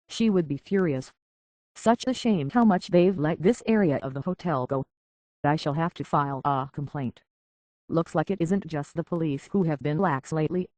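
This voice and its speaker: A man's voice: on the high side, a little mellow, natural voice. Man acting a prim, fussy robot butler. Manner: prim, formal, mannered butler diction. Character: polite, fussy, anxious male robot butler. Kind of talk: scripted video game character dialogue.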